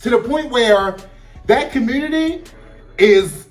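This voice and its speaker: A man's voice: very high-pitched, slow. A man from Baltimore with a Baltimore accent, co-hosting a podcast in casual, chatty talk that keeps correcting itself. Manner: animated, chatty, self-correcting. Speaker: podcast co-host. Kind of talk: casual chat. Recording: echoey room, distant mic, faint music.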